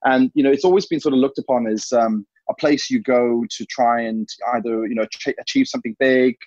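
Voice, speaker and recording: A man's voice: medium pitch, steady tone, fairly quick, darkish tone, no echo. A man in his thirties from Hong Kong, answering interview questions in a rambling way, full of "you know". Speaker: interview guest. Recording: dull lo-fi sound.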